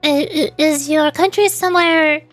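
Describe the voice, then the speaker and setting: A woman's voice: high-pitched, steady tone, normal speed. A woman streamer chatting casually with viewers, thinking aloud hesitantly, stammering over repeated words and putting herself down a little. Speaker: streamer, VTuber. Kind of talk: casual livestream chat with viewers. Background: background music.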